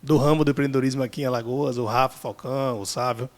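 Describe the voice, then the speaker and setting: A man from Salvador with a Bahian accent, a guest talking through his career in a relaxed, friendly way. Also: medium pitch, quick pace. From Salvador, Brazil. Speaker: guest, business analyst. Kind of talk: casual podcast interview.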